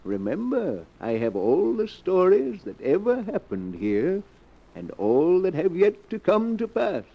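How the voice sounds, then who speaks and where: An actor playing an eerie, sardonic keeper of a book of tales, theatrical and ominous, in 1940s radio horror style. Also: male voice, high voice, wildly swooping pitch, unhurried, full character voice. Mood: sly, ominous. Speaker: host of a mystery anthology. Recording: muffled old 1940s radio recording.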